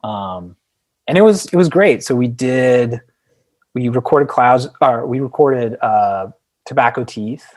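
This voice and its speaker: A man's voice: mid-range voice, animated, measured pace. Man telling band stories casually. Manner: rambling, peppered with like and you know. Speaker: podcast guest, indie band frontman. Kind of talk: casual conversational storytelling.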